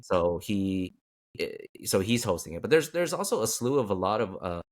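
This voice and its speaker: A man's voice: on the low side, big pitch swings, moderate pace. A man hosting a casual interview, rambling with many restarts and fillers. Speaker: podcast host.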